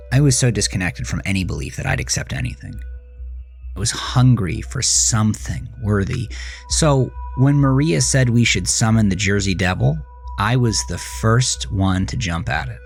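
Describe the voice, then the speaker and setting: An actor playing a jaded man who looks back on his angry teenage years in a brooding, confessional horror monologue with halting pauses. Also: lowish voice, gravelly. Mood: haunted, confessional. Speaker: narrator of a horror audio drama. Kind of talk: first-person scripted horror narration, dramatic monologue. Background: music.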